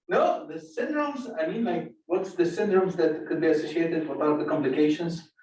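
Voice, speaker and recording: A student chatting casually, laughing and flustered while recounting exam questions. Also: male voice, a little high, moderate pace, slight background noise. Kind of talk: casual chat. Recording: echoey room, far from mic.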